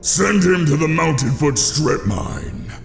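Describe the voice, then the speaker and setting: A ruthless orc warchief, with a gravelly voice that rises and falls dramatically. He commands with formal, cruel menace in a big echoing hall, with faint music, as in a fantasy audio drama. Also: on the high side, wildly swooping pitch, takes it slowly, full character voice. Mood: cruel, gloating. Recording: echoey hall, music behind.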